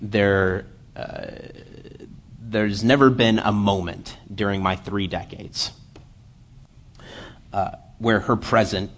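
A man in his late twenties giving a church sermon, lively while telling a story and then quiet and earnest as he reads scripture. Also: fairly low pitch, a little hiss or hum. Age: 20s. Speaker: lay preacher.

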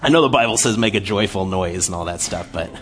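A man in his late twenties giving a church sermon, lively while telling a story and then quiet and earnest as he reads scripture. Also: low-pitched, quick pace, slight background noise. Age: 20s. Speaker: lay preacher.

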